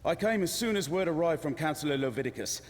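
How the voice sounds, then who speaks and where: A man's voice: fairly high pitch, animated, fast, natural voice. An actor playing an earnest, grave male warrior in a video game. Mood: concerned. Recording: long hall reverb, faint noise.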